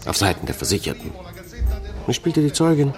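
An actor playing a sober police investigator in a radio crime drama, reporting in a matter-of-fact, official manner. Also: male voice, medium pitch, huge pitch swings, fairly quick. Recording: music under the voice.

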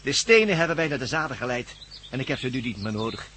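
Megalomaniac villain, radio play, theatrical, lively intonation, smug menace, faint noisy outdoor ambience. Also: male voice, medium pitch, fast talker. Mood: grandiose, fanatical. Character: gloating megalomaniac German villain, scheming for world domination. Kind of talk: Dutch radio drama acting.

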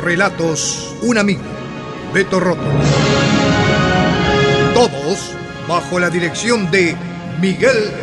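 A man narrates a radio drama in a fairly high voice, solemn and theatrical. He takes his phrases slowly over clear background music.

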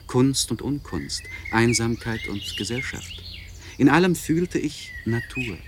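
A man playing a melancholy, learned nobleman in a literary radio monologue, speaking in a solemn, reflective, measured way with emphatic swells. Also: on the low side, a little hiss or hum, natural voice. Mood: fervent remembering. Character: melancholy, learned nobleman confessing a lost inner vigour.

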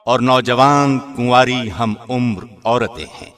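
Male narrator for scripture translation: middle register, formal and measured, trained radio-presenter delivery. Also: mid-range voice, fairly even intonation, fairly bright tone, soft background music. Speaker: translation reader, radio host.

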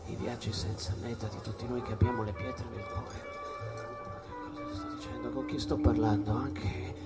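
A desolate, freezing man speaks haltingly to someone who is gone. His pitch lurches widely in this theatrical radio monologue. Loud instrumental music runs behind him. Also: huge pitch swings. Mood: desolate, nostalgic. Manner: halting, anguished, talking to an absent companion. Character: lonely, freezing, abandoned jazz musician, bereft and confused. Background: loud instrumental jazz.

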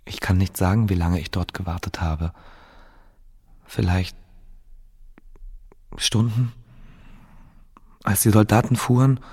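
A frightened man who survived a massacre, telling it in a radio play. The voice is low, with a breathy, rough-edged huskiness. He speaks in hushed, hesitant fragments, quietly stunned. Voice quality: husky, breathy. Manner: hesitant, hushed, broken phrasing. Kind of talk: scripted first-person radio play monologue.

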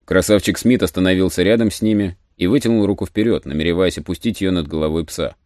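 A man narrating an adventure audiobook in a measured, literary storytelling manner. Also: low-pitched, darkish tone, no room sound, studio quality.

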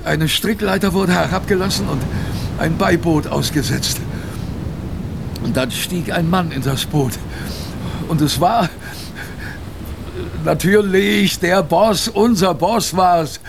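An aged grandfather who survived a polar expedition, recounting it for a radio play. The voice is gravelly and creaky, and he takes his time. He is proud, tender and emotional, pausing to reflect. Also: high-pitched, background noise, exaggerated voice. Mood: overwhelmed, jubilant. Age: older. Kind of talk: radio drama storytelling to a grandchild.

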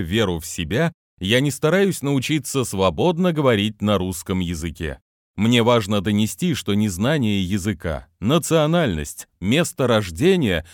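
A man's voice: medium pitch, no room sound, clean studio sound. A man, a business trainer, reading his own motivational book aloud with non-native pronunciation and an earnest manner.

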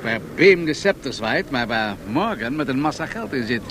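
A man playing a confident, smooth-talking industrial magnate in a Dutch radio drama, self-assured and persuasive. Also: medium pitch, big pitch swings, normal speed, lightly acted. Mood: calculating. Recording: noisy old radio drama recording.

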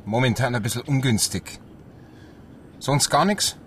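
A man's voice: mid-range voice, lively intonation, unhurried, a bit bright, a little hiss or hum. An actor playing a grumpy, disgruntled policeman with a Bavarian accent in a radio crime drama, speaking tersely. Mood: frustrated.

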